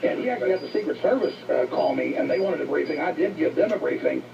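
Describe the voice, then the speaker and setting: A gravelly man hosting a talk interview in an insistent, repetitive way, heard through radio playback. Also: fairly high pitch, expressive, fast, distant mic. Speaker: talk show host interviewing a guest. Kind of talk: forceful broadcast interview. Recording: dull, noisy radio playback from off mic.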